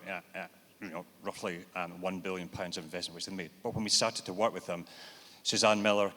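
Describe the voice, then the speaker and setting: A man's voice: low voice, pushed and bright. A man answering questions on a conference panel in a businesslike, explaining way. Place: large event hall. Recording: reverberant hall.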